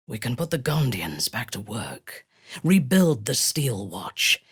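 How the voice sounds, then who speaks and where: Haughty dark elf commander, slow and dramatic. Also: female voice, rumbling low, very animated, husky, no room sound, clean studio sound, full character voice. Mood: cold, imperious. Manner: imperious, measured, formal, menacing. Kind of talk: video game voice acting.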